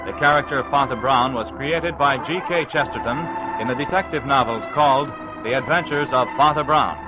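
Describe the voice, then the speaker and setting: A man's voice: medium pitch, a little fast. A man announcing in a formal 1940s radio style, with scripted delivery. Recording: dull vintage broadcast recording. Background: loud organ music.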